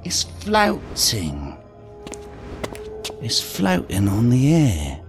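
A man's voice: mid-range voice, huge pitch swings, extremely slow, music in the background, heavily acted. An actor in an audio drama playing a broke, foul-mouthed working-class British man who gripes in slang. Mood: awed, urgent. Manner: slangy, griping.

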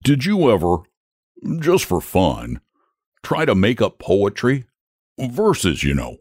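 A man's voice: low voice, big pitch swings, unhurried, no room sound, studio quality, exaggerated voice. A man voicing an amiable, lazy, bashful dragon with poetic leanings, chatting in a genteel, rambling way as a storybook character.

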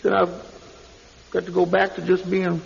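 An actor playing a desperate, cringing underling in a radio drama, whining and stammering in a gravelly, raspy voice. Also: male voice, sits high, completely flat delivery, moderate pace, heavily acted. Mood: desperate pleading. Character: desperate, cringing underling begging his superior. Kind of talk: audio drama acting. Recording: muffled old radio, long reverb.

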